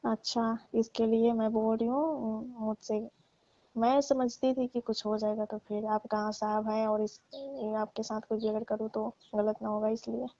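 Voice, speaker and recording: A woman's voice: fairly high pitch, little pitch movement, normal speed, mellow. A woman, pleading deferentially in a private phone conversation. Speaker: domestic worker on a call with employer. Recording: muffled, roomy phone call recording.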